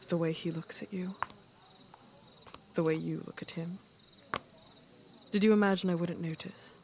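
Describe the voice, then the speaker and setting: A woman performs an aging monarch of a fantasy court, wry and careworn. Her voice sits low with a gravelly fry, and she phrases things deliberately, like a ruler used to being obeyed. There is faint noise behind her. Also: steady tone, a little mellow, lightly acted. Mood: wry, teasing. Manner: measured, deliberate, dryly teasing. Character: aging queen and mother, worried, wry, regal. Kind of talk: dramatic fantasy court audio drama.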